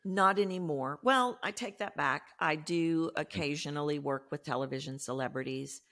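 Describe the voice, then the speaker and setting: A woman from Texas with a Texan accent, a voice coach explaining her work in a podcast interview in an emphatic, animated way. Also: sits low, moderate pace. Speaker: voice coach guest.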